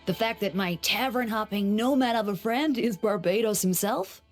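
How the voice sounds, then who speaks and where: A woman's voice: mid-range voice, normal speed, close to an everyday voice. A woman voicing a breezy young male church deacon in a video game, playful and self-assured. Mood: amused, knowing. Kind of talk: video game character acting. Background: faint background music.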